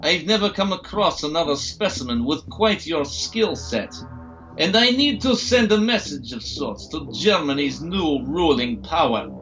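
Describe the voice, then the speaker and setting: An actor playing a menacing doctor villain in an audio drama, theatrically courteous and falsely polite. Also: male voice, a little high, expressive, unhurried, a bit bright, highs cut off. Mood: quietly menacing. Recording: music under the voice.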